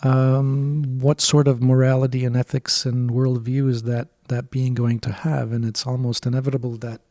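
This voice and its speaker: A man hosting a podcast, a native Turkish speaker, who talks in a reflective, measured way. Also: mid-range voice, little pitch movement, mellow, no room sound, studio quality. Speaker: podcast host. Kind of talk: casual conversation.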